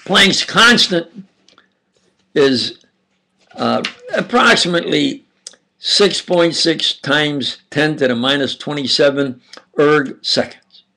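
An older man with an Upstate New York and New Jersey accent, giving a halting, insistent monologue lecture with sudden loud emphasis. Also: a little high, gravelly. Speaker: self-styled preacher lecturing on camera.

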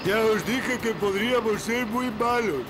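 High-voiced taunting troll ringleader. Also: male voice, a little slow, noisy background. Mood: boastful. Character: boastful mischievous troll ringleader, big and silly. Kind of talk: cartoon dub acting.

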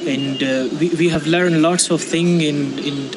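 A man's voice: on the high side, steady tone. Young man from Afghanistan, noisy outdoors. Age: young adult. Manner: halting, repeats words while searching for them. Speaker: student volunteer. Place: outdoors on a campus. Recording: outdoor recording with loud background noise and birds.

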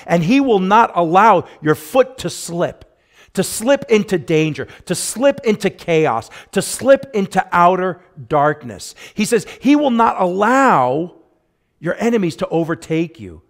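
Higher-voiced man preaching with emphasis. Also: very animated, unhurried. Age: middle-aged. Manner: emphatic, swinging between hushed and raised delivery, repeating phrases for weight. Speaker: pastor preaching. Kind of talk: sermon with scripture exposition. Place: church sanctuary, at a pulpit.

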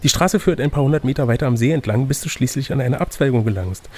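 A man's voice: mid-range voice, fast talker, a little mellow. A man reading out an adventure gamebook as game master, slipping between narration and playful, teasing casual banter.

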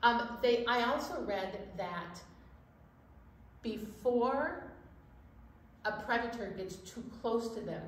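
A middle-aged woman with a fairly high voice hosts a children's storytime, chatting to young viewers. She is recorded from a distance in a very echoey room. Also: slow pace, long pauses. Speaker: library storytime host. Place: indoor storytime set at a library. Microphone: distant camera microphone. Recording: roomy, distant mic, steady background noise.

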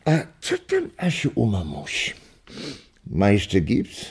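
A man playing a jovial, doting old grandfather in a children's audio drama, with chuckles and drawn-out exclamations. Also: fairly low pitch, huge pitch swings, slightly rough, no room sound, full character voice. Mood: jolly.

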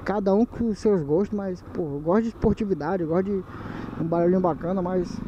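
Slangy Brazilian motovlogger on a night ride. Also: male voice, sits high, a little fast. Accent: Manaus Brazilian Portuguese. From Manaus, Brazil. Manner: laid-back slangy chatter to viewers. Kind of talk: casual motovlog commentary while riding. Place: riding a motorcycle on city streets at night. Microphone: helmet camera mic. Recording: dull helmet-cam audio with loud wind and engine noise.

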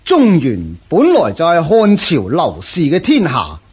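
An actor playing a proud, scornful Xiongnu chieftain in a Cantonese historical radio drama, declaiming grandly with a Hong Kong accent. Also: male voice, on the high side, huge pitch swings, measured pace, a little mellow, slightly rough, heavily acted. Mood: solemn, resolute.

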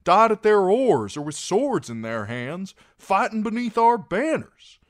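A man's voice: high voice, sing-song, a little slow, no room sound, studio quality, voice pushed up. A man voicing an earnest, blunt knight in an audiobook, arguing in an insistent, impassioned way. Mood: impassioned, pleading. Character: earnest, blunt, plain-spoken male knight pleading for mercy.

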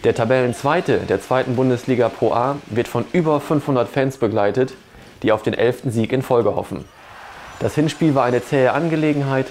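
Middle-aged man, medium-pitched scripted sports reporter, faint noise behind. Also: darkish tone. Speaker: sports journalist. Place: indoor studio.

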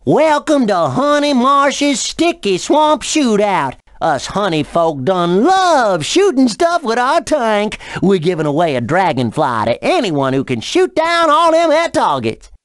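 A woman's voice: medium pitch, sing-song, unhurried, hardly any pauses, no room sound, clean studio sound. A woman playing a folksy, playful honey-country game host with a drawling Southern US accent, cheerfully teasing. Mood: upbeat, folksy. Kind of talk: scripted video game character dialogue.

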